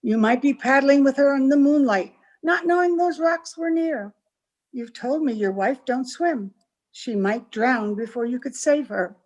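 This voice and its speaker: A woman playing a scheming, ambitious young farm girl in an old-time radio melodrama, taunting and deliberate. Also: high-pitched, a little mellow. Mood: sly, insinuating. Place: remote recording over Zoom.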